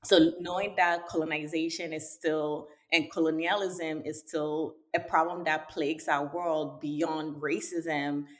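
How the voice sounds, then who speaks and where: A woman's voice: low voice, level tone, measured pace, few pauses. A woman from Ghana hosting a podcast interview, speaking earnestly and appreciatively. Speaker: podcast host.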